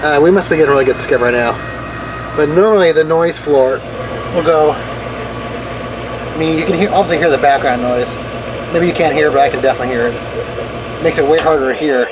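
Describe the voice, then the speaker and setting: Casual man talking over clear background noise. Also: a little high, brisk, pauses often. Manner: informal, thinking aloud while tinkering. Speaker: hobbyist video maker demonstrating car radio gear. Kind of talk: casual hands-on explainer. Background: vehicle and ambient noise.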